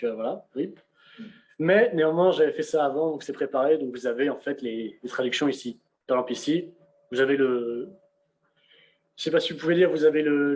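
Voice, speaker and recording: A young man giving a casual on-screen software walkthrough, talking conversationally with frequent fillers and restarts, recorded on a computer mic. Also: a little high, low-quality recording. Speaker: presenter of a software demonstration. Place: indoors at a computer.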